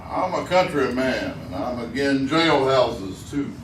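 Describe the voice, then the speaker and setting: A man's voice: mid-range voice, lively intonation, measured pace, close to an everyday voice. An actor playing a blunt, menacing backwoods mountain man with a Southern mountain accent in an old-time radio western. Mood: blunt, defiant. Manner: blunt, folksy backwoods talk. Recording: roomy, distant old radio recording, noisy.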